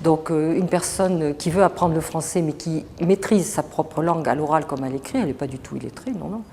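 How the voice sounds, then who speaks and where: A middle-aged woman, a teacher, calmly explaining her association in an interview, with frequent hesitations. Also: deep voice. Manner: measured, explanatory, many hesitations. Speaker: teacher running a French course association. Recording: echoey hall, faint background noise.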